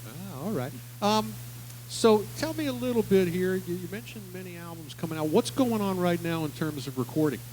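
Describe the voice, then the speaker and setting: Man, talk show host, slightly high voice, rambling casual questions, faint hum. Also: lively intonation, normal speed, a little mellow, poor audio. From United States. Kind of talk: casual interview.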